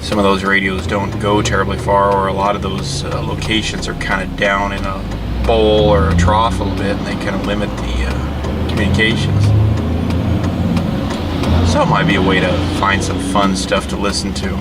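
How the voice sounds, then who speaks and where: Man rambling over loud car noise, off-mic. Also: completely flat delivery, recorded from a distance. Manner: folksy, halting, unhurried, thinking aloud. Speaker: hobbyist video host. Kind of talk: casual rambling vlog chat while driving. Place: inside a moving car. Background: loud vehicle rumble.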